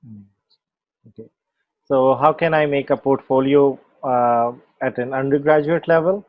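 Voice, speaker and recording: A man's voice: mid-range voice, measured pace, no room sound, narrow, tinny audio. A man moderating a webinar, reading out audience questions in a brief, matter-of-fact way.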